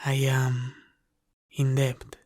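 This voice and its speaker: A devoted half-dragon butler, played by a man for an ASMR roleplay, speaks at a slow, careful pace. His mid-pitched voice stays level and restrained. He is formal, deferential and caring, with an apologetic humility toward the one he serves. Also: completely flat delivery, darkish tone. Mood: humble, grateful.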